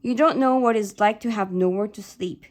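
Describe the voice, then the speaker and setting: A woman's voice: mid-range voice, moderate pace, natural voice. A woman voicing an angry middle-aged immigrant father, bitter and domineering, in a read-aloud novel. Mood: cold, stern.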